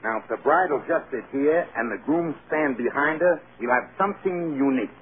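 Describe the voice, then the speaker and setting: An actor playing a cynical male Hollywood press agent, brisk and wisecracking, in a vintage radio drama. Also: a little high, lightly acted. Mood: brisk, businesslike. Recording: scratchy, tinny old radio broadcast.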